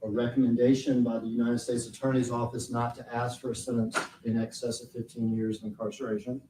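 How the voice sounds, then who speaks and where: A man questioning a witness in a courtroom, slow and deliberate. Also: medium pitch, flat delivery, noisy background. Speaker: prosecutor. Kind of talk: courtroom direct examination. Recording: roomy courtroom audio from far off.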